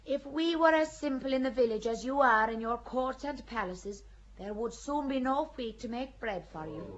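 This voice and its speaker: A young peasant girl on trial in a radio play, high earnest voice, blunt, a bit muffled. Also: expressive, normal speed, a little hiss or hum. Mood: defiant, pert. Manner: plain-spoken, earnest, emotional. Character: young French peasant maid, bold, blunt, devout. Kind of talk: audio drama acting of a stage play.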